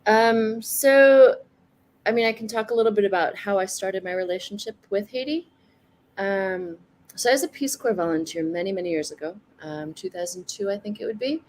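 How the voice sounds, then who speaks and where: A woman in her forties, a guest in a casual online conversation, talking in a thoughtful, soft-spoken way and laughing easily. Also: mid-range voice, moderate pace, slight background noise. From United States.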